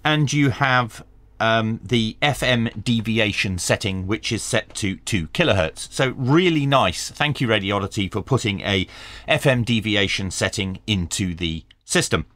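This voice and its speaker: Animated man giving a hands-on tech review. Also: lowish voice, unhurried, no room sound. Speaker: radio review channel host. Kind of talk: hands-on product review and tutorial narration. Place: small indoor home workshop.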